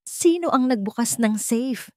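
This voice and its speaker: A woman's voice: on the high side, expressive, measured pace, no room sound, clean studio sound, voice pushed up. A woman voicing an intense, commanding billionaire man in an audio love story, speaking emphatically. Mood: stern, angry. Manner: emphatic, accusing, insistent. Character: stern, commanding billionaire man, remorseful and pleading later.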